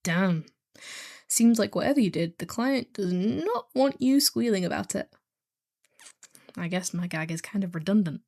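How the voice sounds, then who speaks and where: A woman's voice: medium pitch, lively intonation, a little slow, vocal fry, pauses often, a little mellow. Female actor as heartless female kidnapper for hire, audio roleplay: bored, snarky, casual, with swooping intonation. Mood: taken aback. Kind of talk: scripted one-sided audio roleplay drama.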